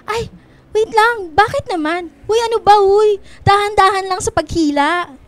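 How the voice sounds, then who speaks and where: A woman's voice: very high voice, unhurried, noisy background. A woman with a Filipino accent playing a playful, self-conscious young best friend in a radio drama, girlish and whiny as she teases. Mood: grumbling.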